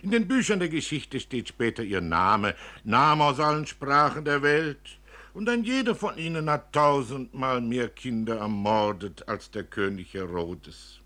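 A man's voice: mid-range voice, lively intonation, unhurried, pushed and bright, pitched above the performer's own voice. A man voicing a worried, bitter father who lectures his young daughter emphatically and indignantly, as in a literary story.

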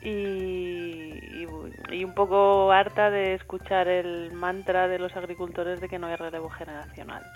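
A woman researcher speaking as a phone guest on a radio show, answering in a measured way. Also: on the low side, little pitch movement. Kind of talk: phone interview. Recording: phone call, faint music.

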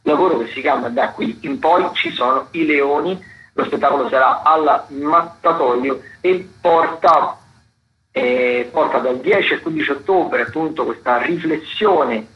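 A man hosting radio, presenting arts listings in a halting, repetitive way full of fillers. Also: a little high. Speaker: radio host. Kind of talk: radio arts listings commentary, loosely read. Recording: dull lo-fi radio broadcast.

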